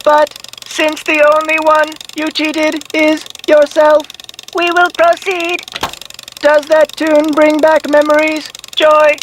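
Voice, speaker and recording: A quirky talking memory machine from a video game, speaking in stilted, formal phrases with playful flourishes. The voice is very high and swings wildly in pitch, with some noise on the recording. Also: female voice. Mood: cheeky. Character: quirky talking memory machine, chirpy and programmed, riddle-giving. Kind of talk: video game character voice acting.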